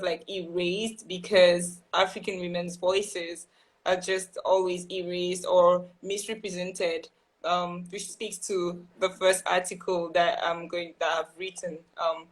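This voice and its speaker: A woman's voice: on the low side, rough recording. A young woman from Burkina Faso, a guest writer, talking earnestly and thoughtfully on a live video call, with frequent ums and restarts. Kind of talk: casual live-stream conversation.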